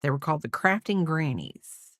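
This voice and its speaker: A woman's voice: sits low, sing-song, moderate pace, a bit bright, dry recording, clean studio sound. A middle-aged woman chatting casually as a crochet host, in a rambling way, trailing off and repeating herself. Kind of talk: casual chat while crocheting, counting stitches aloud.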